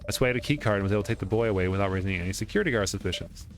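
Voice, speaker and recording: Fast-talking man with a low voice. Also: faint music behind. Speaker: let's play streamer. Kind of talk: reading game dialogue aloud during playthrough.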